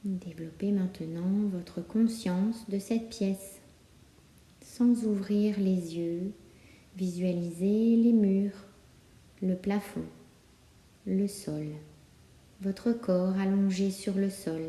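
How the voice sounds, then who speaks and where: Slow, very mellow woman guiding relaxation. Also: mid-range voice. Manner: calm, soothing instruction with many pauses. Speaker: yoga nidra guide. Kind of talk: guided relaxation meditation. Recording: roomy, echoing sound.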